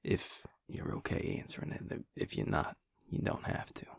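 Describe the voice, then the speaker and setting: A man playing a flirty but tender werewolf in an intimate romantic audio roleplay, speaking in a husky, breathy murmur with a halting, teasing manner. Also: no room sound, close to an everyday voice. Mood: earnest, hesitant. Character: flirty, feisty male werewolf, tender and earnest underneath.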